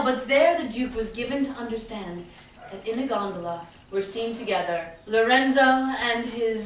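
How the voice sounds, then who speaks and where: Woman as eager gentleman in an echoey room. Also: on the high side. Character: Venetian gentleman eagerly relating news to a friend. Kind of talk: Shakespearean staged reading. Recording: very echoey room, distant mic, faint noise.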